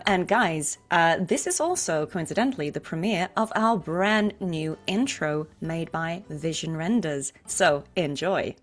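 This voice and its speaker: A woman's voice: low voice, animated, unhurried, hardly any pauses. A woman hosting a show, chatting casually as she interviews a guest.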